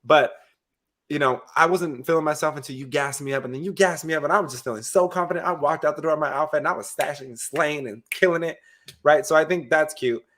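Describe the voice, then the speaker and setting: A man's voice: on the high side, quick pace, a bit bright, slight background noise. A man co-hosting a casual reality TV recap podcast, stuffed up from a cold, blunt and opinionated in a playful way. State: stuffed-up nose. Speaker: podcast co-host.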